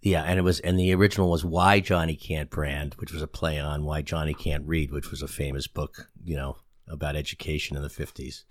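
A man's voice: rumbling low, level tone, brisk, hardly any pauses, dry recording, studio quality. A man in his sixties from the Boston area, a guest talking about his branding career in a loose, self-deprecating way, full of ums and restarts. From Boston area, USA. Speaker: guest, brand specialist and author. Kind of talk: casual conversational interview. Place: studio in San Antonio.

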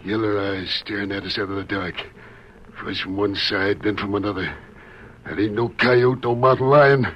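An actor playing a paranoid western outlaw in a radio drama, agitated and raving with fear in a gravelly Western drawl. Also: male voice, lowish voice, fairly even intonation, normal speed, close to an everyday voice. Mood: feverish dread. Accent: American Western drawl. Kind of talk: old radio western acting. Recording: dull, noisy old radio recording.

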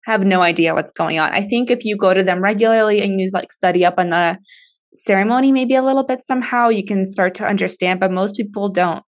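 A woman's voice: mid-range voice, fairly quick. A woman whose first language is Russian, a podcast guest talking casually and earnestly about church. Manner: earnest, explanatory, with soft laughs. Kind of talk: casual conversation.

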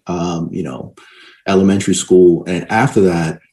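A man's voice: low voice, fairly even intonation, normal speed, a little mellow. A man explaining his points in an interview, emphatic and often checking in with short tag questions. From Barbados and New York City. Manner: emphatic explainer, frequently tags points with "right?". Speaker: interview guest, education and economics expert.

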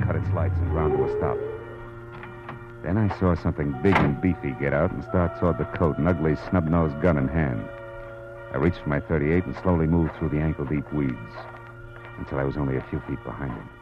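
An American actor playing a tough, wry private detective in a 1940s radio drama, with a clipped, sardonic delivery. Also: male voice, sits low, dull audio, lightly acted. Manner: hard-boiled, clipped, sardonic. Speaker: lead actor and first-person narrator. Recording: old radio broadcast with music behind.

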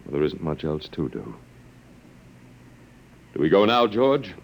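An actor playing a weary, wry human survivor in a classic radio drama, halting and informal, with a gravelly edge. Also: male voice, a bass voice, sing-song, exaggerated voice. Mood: resigned.

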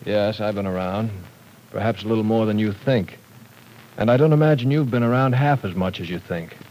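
A man's voice: fairly low pitch, a little fast, darkish tone, a little hiss or hum, lightly acted, poor audio. An actor playing a firm, fatherly professor in a 1940s radio drama, lecturing and cutting in to keep the talk in order. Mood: stern.

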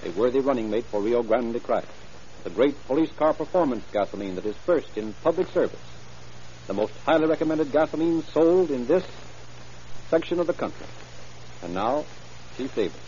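A man's voice: medium pitch, animated, moderate pace, mellow, slight background noise. A man announcing and narrating a 1930s radio program in a formal, polished sales delivery. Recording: muffled 1930s radio broadcast with music.

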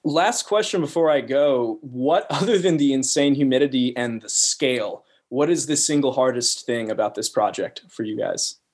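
A man's voice: mid-range voice, normal speed, few pauses, husky. A man speaking conversationally as a podcast interviewer.

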